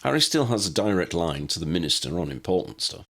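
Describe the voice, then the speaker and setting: A man acts a casual, good-natured wizard in a storybook. He talks bluntly and loosely, with big swings in a low register. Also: very animated, fast talker, creaky, a bit bright, exaggerated voice. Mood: clarifying. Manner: informal, loose phrasing.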